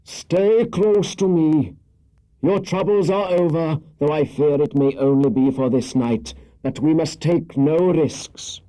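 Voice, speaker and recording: An ancient, protective wizard from a children's storybook. He declaims grandly in archaic phrases, very slowly, in a raised but dark, husky voice. He sounds commanding and grave. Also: on the high side, pitched above the performer's own voice, low-quality recording. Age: older.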